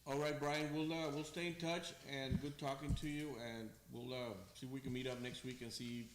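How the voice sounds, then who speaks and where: Man, board president, mid pitch, brisk, procedural, echoey hall, noisy. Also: steady tone. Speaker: board president chairing a meeting. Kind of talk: formal meeting procedure. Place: city board meeting room. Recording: echoey room with background noise.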